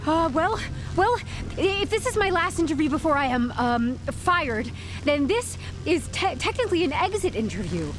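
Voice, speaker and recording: Flustered, animated wisecracking HR worker. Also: female voice, high-pitched, moderate pace, music in the background. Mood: nervous, stalling. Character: nervy, sarcastic female HR newcomer held captive. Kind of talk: comedic audio drama acting.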